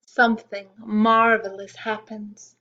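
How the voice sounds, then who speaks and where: Slow, gentle woman reading a poem. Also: mid-range voice, flat delivery, highs cut off. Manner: slow, gentle, drawn-out reading with soft hushed asides. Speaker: storytime reader. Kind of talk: reading a picture-book poem aloud to children.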